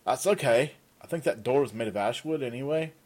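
A man voicing a hot-tempered young sergeant who shouts and exclaims, in an audiobook reading. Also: medium pitch, lively intonation, fairly quick, voice pushed up, lightly acted. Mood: commanding. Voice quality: raised, exclaiming.

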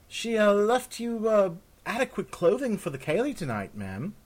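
A man's voice: high voice, huge pitch swings, moderate pace, a little mellow, voice pushed up, exaggerated voice. A man voicing an eager, deferential young servant girl with polite, old-fashioned speech in a fantasy story. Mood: apologetic. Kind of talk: fantasy audiobook character.

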